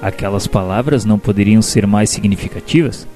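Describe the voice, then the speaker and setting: A man's voice: fairly low pitch, brisk, lightly acted. A man playing a humble, heartfelt spirit helper who narrates solemnly in a religious radio drama. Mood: solemn, reflective. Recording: music bed behind narration.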